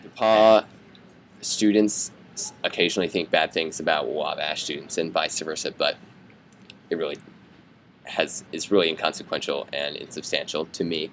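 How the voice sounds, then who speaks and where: A young man, a student, giving earnest, measured advice and a farewell to his classmates. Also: a bass voice, steady tone, fairly bright tone, slight background noise. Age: young adult. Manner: earnest, measured, slightly halting.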